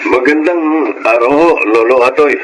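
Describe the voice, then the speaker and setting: A man's voice: medium pitch, normal speed, very noisy, lightly acted. An actor playing an earnest, polite family man and father in a Filipino radio drama. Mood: polite, concerned.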